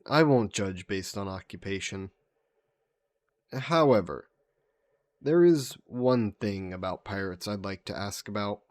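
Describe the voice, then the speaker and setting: A man voicing a vain sea monster who poses as a mannered gentleman in a roleplay audio drama, speaking in suave, formal phrases. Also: lowish voice, a little slow, pauses often, no echo, natural voice. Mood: playful, flirty. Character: flirty, vain sea monster posing as a gentleman traveler, turning menacing.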